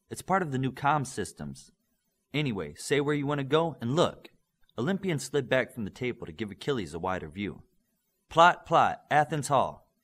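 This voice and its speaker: A man narrates a superhero audiobook in a husky voice. His pitch moves around a lot as he reads scripted fiction expressively and shifts into the characters' dialogue. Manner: expressive reading of superhero story.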